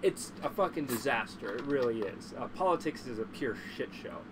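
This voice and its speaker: Male livestream host, average pitch, congested, casual and sweary with strong opinions; noticeably noisy recording. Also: expressive, brisk. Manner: blunt, profane, opinionated.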